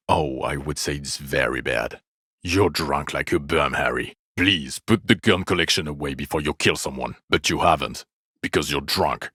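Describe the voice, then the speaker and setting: Very deep-voiced, bitter police detective. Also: male voice, pushed and bright, no room sound, studio quality, heavily acted. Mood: exasperated, scornful, then weary contempt. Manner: sardonic, accusatory, profane. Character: bitter, exasperated male police detective berating his drunk partner. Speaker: video game voice actor. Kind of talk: dramatic game dialogue, scolding.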